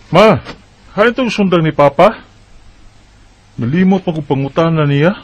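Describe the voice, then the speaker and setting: A man's voice: a little high, animated, a little slow. A man playing a dutiful, earnest young farm son in a radio drama, speaking Bisaya with a Cebuano accent. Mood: earnest, obedient. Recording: noisy amateur recording with clicks.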